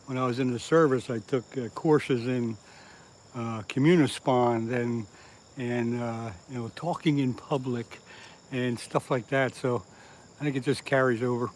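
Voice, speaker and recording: A man's voice: mid-range voice, steady tone, measured pace, darkish tone, low-quality recording. A man from southeast Pennsylvania chatting on a casual fishing livestream, reading viewer comments aloud and laughing at his own jokes. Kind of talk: casual live-stream chat with viewers. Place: outdoors by the water.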